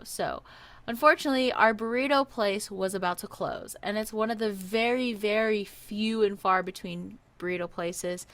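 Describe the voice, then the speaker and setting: A young woman from Hawaii co-hosting a podcast, telling a story in a lively, laughing way. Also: medium pitch. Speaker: podcast co-host. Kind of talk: casual storytelling.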